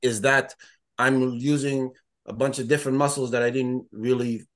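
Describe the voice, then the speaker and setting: Guy trainer chatting on a podcast, mid pitch, keeps restarting sentences. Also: steady tone, a bit bright. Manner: halting, repeats words, easygoing. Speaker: fitness trainer and product creator. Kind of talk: casual podcast chat.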